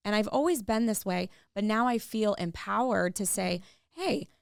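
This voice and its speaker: A middle-aged woman, a guest on a podcast, talking earnestly and warmly about her work and family. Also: medium pitch, expressive, moderate pace, gravelly, clean tone, no echo, studio quality. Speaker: podcast guest, tax professional. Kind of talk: casual interview chat.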